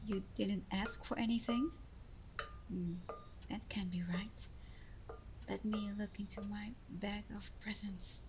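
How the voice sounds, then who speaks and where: A woman playing Santa's gentle, bashful adopted daughter in an ASMR roleplay, soft-spoken, hesitant and apologetic. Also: medium pitch, background noise, lightly acted. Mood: flustered, friendly. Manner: soft-spoken, hesitant, breaking into little giggles.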